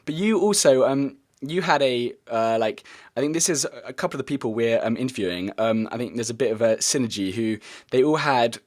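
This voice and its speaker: A man hosting a casual interview, speaking excitedly in a hesitant, rambling way with stumbled sentences. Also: medium pitch, fairly quick. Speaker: podcast host interviewer.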